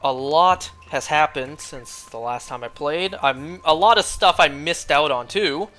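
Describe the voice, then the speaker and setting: A young man streaming a game, chatting casually and rambling, clearing his throat often as he is losing his voice. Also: a little high, measured pace, pushed and bright, faint music behind.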